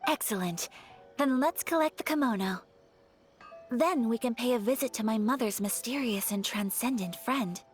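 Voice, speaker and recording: Game noblewoman, played by a woman: young, refined, gentle, slightly hesitant, highish pitch, music underneath. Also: lively intonation, normal speed, lightly acted. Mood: gracious. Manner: polite, formal, hesitant, breathy asides. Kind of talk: video game character acting.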